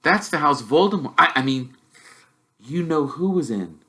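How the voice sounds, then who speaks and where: Guy reading a modest storybook wizard kid, soft spoken, bit unsure, curious, clear bright tone. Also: a little high, animated, moderate pace, voice pushed up. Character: modest, hesitant, curious young wizard boy.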